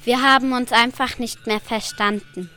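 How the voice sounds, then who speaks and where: A woman's voice: on the high side, fairly even intonation, moderate pace, pushed and bright, soft background music. A female performer playing an evasive mother in an amateur school radio play. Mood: hesitant, evasive. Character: evasive mother breaking divorce news to her children.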